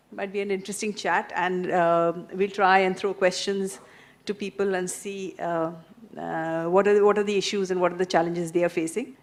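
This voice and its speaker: A woman moderating a festival panel, asking questions in a thoughtful, probing way with some hesitation. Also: fairly low pitch.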